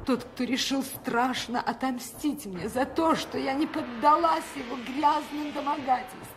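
An actress playing a seductive villainess who poses as a helpless, persecuted victim, imploring theatrically between whispers and cries in a radio drama. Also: female voice, high-pitched, a little slow, noisy background. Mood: desperate pleading. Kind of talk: classic radio drama acting.

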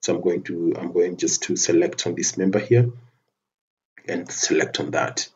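A man giving a methodical, step-by-step software tutorial over a screen recording, often restarting his phrases. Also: deep voice, fairly even intonation, normal speed. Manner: methodical step-by-step narration with frequent restarts and fillers.

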